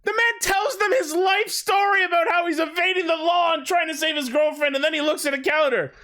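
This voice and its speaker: This is a podcast host with a very high, androgynous voice. The talk is casual recap chat, full of swearing and delivered with exasperated disbelief. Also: a little fast, sharp tone.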